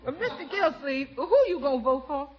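An actress playing a plain-spoken, wry housekeeper with folksy grammar in a 1940s radio comedy. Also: female voice, high voice, brisk, lightly acted. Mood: insistent. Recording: muffled, noisy vintage radio recording.

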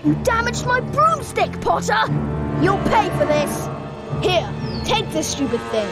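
A woman's voice: extremely high pitch, sing-song, a little slow, over loud music, full character voice. A performer voicing a sneering, taunting schoolboy bully in a video game, sounding like a woman playing a boy. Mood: taunting, angry.

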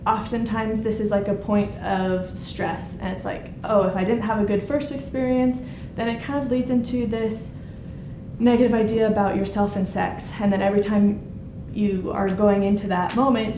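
A young woman giving a casual conference talk, pausing often with ums. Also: mid-range voice. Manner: hesitant, many ums. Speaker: conference speaker. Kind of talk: informal presentation. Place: conference room with projector screen. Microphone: handheld mic. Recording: echoey room, noisy.

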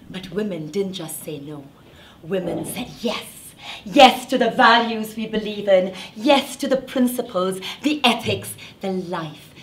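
A young woman moderating a panel, hoarse from losing her voice, who rises into a rousing spoken address. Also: on the low side, a little hiss or hum. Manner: rousing, rising to loud declamation. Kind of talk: moderating, then an impassioned address. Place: conference room, standing before the panel. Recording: roomy hall from a distant mic.

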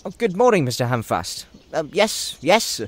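A man voicing a genial older gentleman hobbit, courteous, musing and whimsical, in an audio drama. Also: on the high side, big pitch swings, normal speed, slight background noise. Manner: courteous, musing, hesitant openings. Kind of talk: audio drama character acting.

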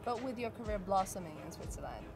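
A woman's voice: mid-range voice, lively intonation, fast talker, gravelly, noisy background. A young woman hosting a TV show, chatting through upbeat questions on an outdoor terrace with a handheld mic. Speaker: TV show host. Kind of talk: on-location TV interview.

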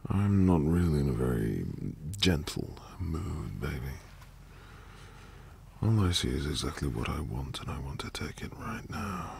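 Man acting as teasing dominant boyfriend, ASMR roleplay, low voice, heavy vocal fry, breathy murmurs, intimate hums. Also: darkish tone, a little hiss or hum, heavily acted. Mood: hungry, intimate. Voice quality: breathy, gravelly murmur often dropping to whisper. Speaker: audio roleplay performer.

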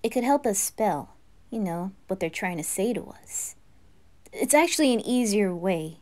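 A woman voicing a cool, knowing young anime boy who explains things in a measured, hesitant way. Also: medium pitch, animated, darkish tone, close to an everyday voice. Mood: explaining. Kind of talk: anime fan roleplay.

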